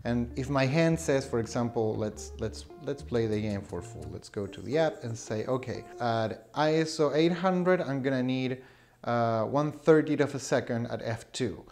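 A man's voice: mid-range voice, moderate pace, a little mellow. Casual guy in his 30s talking to cam in a small room, chatty, light music under it. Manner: chatty, with fillers and laughs. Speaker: photography vlogger. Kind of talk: casual explainer to camera. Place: small indoor room with shelves. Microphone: camera in front, close framing.

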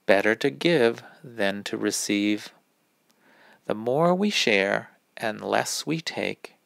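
A man reading a rhyming children's picture book aloud in a deliberate, instructive manner. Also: medium pitch, creaky, a bit bright, no room sound. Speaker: read-aloud reader.

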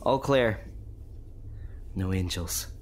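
A man's voice: sits low, drawn-out words, a little hiss or hum. A man playing a weary, anxious space station officer in an amateur audio drama. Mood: anxious, grim.

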